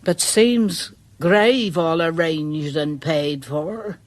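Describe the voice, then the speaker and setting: An actress playing a superstitious, deferential village charwoman in a radio drama, with a rural English accent and a halting, folksy way of talking. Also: deep voice. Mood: hushed storytelling.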